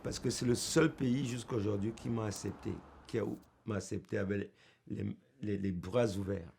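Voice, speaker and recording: A man's voice: lowish voice, fairly even intonation, moderate pace, gravelly, a little mellow, slight background noise. A middle-aged man from Cameroon, a musician speaking, earnest and heartfelt, with small restarts. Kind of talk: documentary interview.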